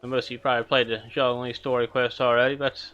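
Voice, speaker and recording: A laid-back man chats to his viewers as he plays through a game. His voice is bright and forward. Faint game music runs behind him. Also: mid-range voice, flat delivery, fast, clear, resonant tone, highs cut off. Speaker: streamer. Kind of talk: casual gameplay streaming.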